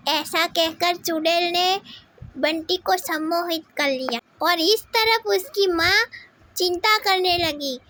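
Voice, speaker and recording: A woman storyteller with a very high, childlike pitch narrates a children's cartoon tale. She reads slowly, and the recording has clear background noise. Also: sharp tone.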